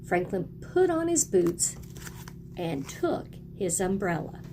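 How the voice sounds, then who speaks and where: A woman's voice: medium pitch, sing-song, slow pace, noisy background. A woman teacher leads a read-aloud lesson for young children, encouraging them and prompting them with questions and repeats.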